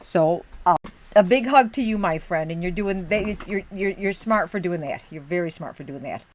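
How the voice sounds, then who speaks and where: A woman's voice: lowish voice, expressive, moderate pace, darkish tone, a little hiss or hum. Chatty motherly woman streamer. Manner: rambling, motherly, talks to chat directly. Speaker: livestream host reading chat. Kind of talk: chatty livestream in a homey mom persona.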